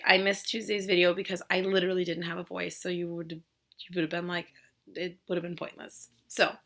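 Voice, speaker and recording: A woman hosting a fertility video channel, a little hoarse as she loses her voice, explaining things in an earnest, emphatic way. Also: mid-range voice, pushed and bright. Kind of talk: educational vlog talk.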